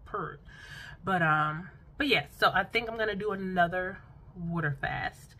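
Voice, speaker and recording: A woman's voice: on the low side, unhurried, bright, forceful tone. A woman in her thirties chatting casually to her phone camera from a bedroom at home, laughing often and calling her viewers y'all. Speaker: vlogger. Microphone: phone camera close up.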